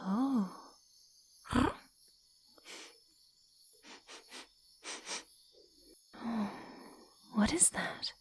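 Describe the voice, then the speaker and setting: A woman as a sweet, breathy, playful kitsune girl. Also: high-pitched, dark tone, heavily acted. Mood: delighted, touched. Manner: giggly, cooing, slipping into breathy whispers. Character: playful, sweet, eager young female kitsune fox spirit. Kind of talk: ASMR roleplay, speaking softly to the listener.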